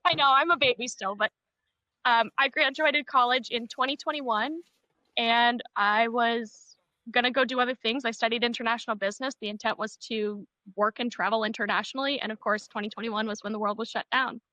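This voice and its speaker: A woman's voice: on the high side, fairly quick, bright, forceful tone, no echo, muffled recording. A 25-year-old woman from Maine, a podcast guest on a video call, telling stories in an upbeat, descriptive way. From Maine, USA. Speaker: podcast guest, rental manager. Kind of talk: casual interview chat. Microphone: remote video call.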